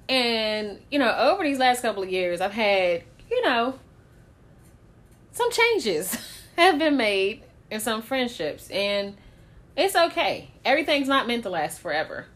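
Blunt, laughing woman with a high voice. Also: very animated, a little slow, fairly bright tone. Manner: candid and blunt, swears freely, laughs often. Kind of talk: casual podcast chat.

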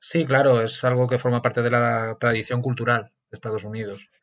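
A man's voice: mid-range voice, level tone, normal speed, dry recording. A man from central Spain, a guest lecturer answering interview questions thoughtfully, with frequent fillers and restarts. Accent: Spanish (Castilian). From Castilla-La Mancha, Spain. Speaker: guest, sports management lecturer. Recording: dull lo-fi remote audio.

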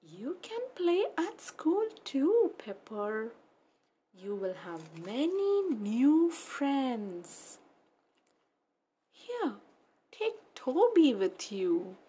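Storybook mum, female reader: caring, patient, soothing, very slow delivery. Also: sits high, huge pitch swings, mellow, breathy edge, voice pushed down, exaggerated voice. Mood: coaxing. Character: gentle, caring mother of a young puppy. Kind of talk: children's storybook read-aloud.

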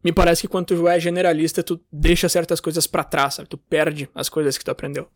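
Guy cohosting a podcast, fast and high, dry close sound. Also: level tone, no echo. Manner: talks in long reasoning runs with many "cara" and "sabe". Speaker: podcast co-host. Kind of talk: casual podcast chat.

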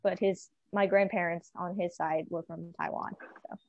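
Lowish young woman, dull recording. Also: steady tone, normal speed, no echo. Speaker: student discussion participant. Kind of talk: casual group discussion.

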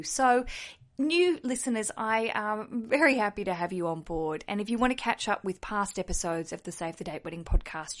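An Australian woman hosting a podcast alone, chatty, jokey and candid. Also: mid-range voice. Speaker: podcast host. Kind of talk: solo podcast chat.

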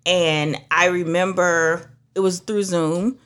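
Low-voiced woman telling lively stories. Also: slow pace, smooth. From Trinidad. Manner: animated storytelling, acts out other voices. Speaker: minister and beauty professional. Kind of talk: casual conversation.

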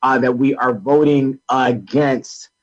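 An American man giving a political interview as a guest advocate, explaining haltingly and repeating words as he goes. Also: medium pitch, steady tone, muffled recording. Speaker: guest, labor council political director.

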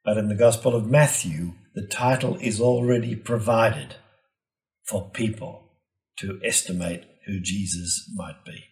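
Low-voiced male priest explaining scripture. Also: unhurried, a little mellow. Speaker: priest giving a gospel reflection. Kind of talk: expository scripture reflection.

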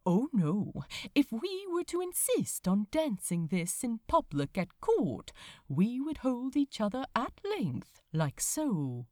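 A woman voicing a refined, mischievous gentleman who teases with prim mock propriety in a storybook reading. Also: fairly high pitch, huge pitch swings, no echo, clean studio sound, pitched above the performer's own voice, heavily acted. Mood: playfully prim. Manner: prim, mock-proper, deliberate innocence. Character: refined, mischievous gentleman, teasing dance tutor.

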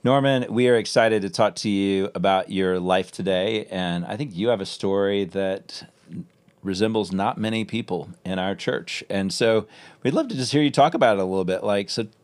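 A man, a senior pastor, hosting an interview and asking friendly, halting questions. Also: fairly low pitch. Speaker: senior pastor and podcast host.

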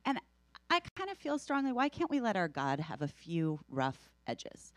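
A woman's voice: on the low side, wildly swooping pitch, normal speed, no echo. A woman taking part in a study-class debate, pressing her points insistently and building them in lists. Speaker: class discussion participant.

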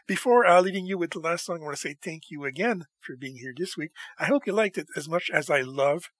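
A man hosting a music show in a casual solo monologue, enthusiastic and chatty, his voice slightly hoarse from talking. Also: a little high, animated, quick pace, fairly bright tone, no echo. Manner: enthusiastic and chatty, with frequent laughs.

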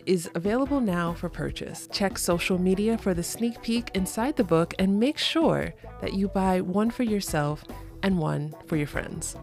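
A woman hosting a language podcast, reading her scripted introduction in an upbeat, clearly spoken way before she starts the interview. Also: on the low side, a little mellow. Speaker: podcast host.

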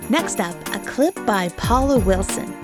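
A woman's voice: medium pitch, animated, unhurried, over music. A woman hosting a podcast, presenting and discussing interview clips. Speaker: podcast host.

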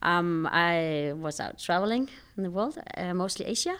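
A middle-aged woman with a Danish accent and a fairly low voice answers questions as an interview guest. Her Danish is native, and her English comes in hesitant, searching phrases in a casual conversational style. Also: animated, moderate pace, a bit bright, clear, resonant tone. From Denmark. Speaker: interview guest, civil engineer.

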